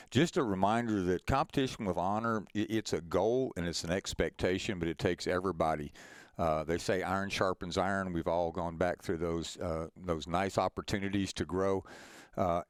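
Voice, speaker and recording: Folksy, low-voiced man with clear tone. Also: no room sound, clean studio sound. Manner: folksy, encouraging. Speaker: head of a school athletics association leading a conference call. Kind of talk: informal address to members on a conference call.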